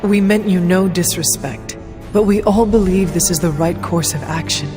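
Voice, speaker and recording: An actress playing an earnest, resolute young warrior heroine in a video game cutscene, speaking formally in measured phrases. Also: female voice, fairly low pitch, close to an everyday voice. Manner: formal, measured, few contractions. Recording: clear music behind voice.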